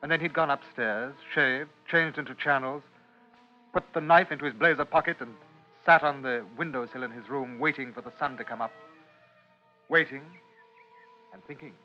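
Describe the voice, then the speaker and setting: A man's voice: mid-range voice, normal speed, pauses often, soft background music. Male narrator on muffled vintage radio. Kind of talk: literary scripted narration, 1950s radio drama.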